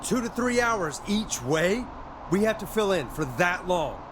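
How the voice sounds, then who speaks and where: A man's voice: high-pitched, sing-song, unhurried, background noise. An actor playing a nervous, wry male late-night radio host in a video game, speaking a little hesitantly. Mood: alarmed.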